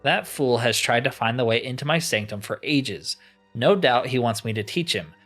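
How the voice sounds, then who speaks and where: A haughty ancient enchanter living as a crystal, put on by a man reading a game aloud. He is pompous and theatrical, with a mid-pitched voice that moves up and down a lot. Music plays softly behind. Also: lively intonation, normal speed. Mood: annoyed, commanding. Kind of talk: reading video game dialogue aloud in character.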